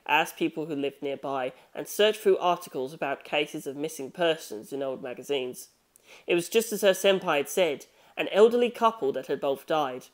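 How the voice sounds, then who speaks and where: A man reading scripted fiction as an audiobook narrator. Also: on the high side.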